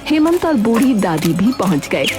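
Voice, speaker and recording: A woman's voice: mid-range voice, very animated, moderate pace, over music. A woman narrating a scripted children's moral story.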